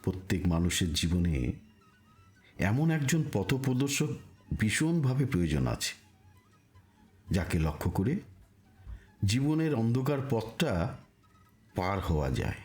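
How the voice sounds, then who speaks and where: A man delivering a scripted spiritual narration in a solemn, deliberate manner, with rhetorical pauses. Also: on the low side, big pitch swings, darkish tone, soft background music.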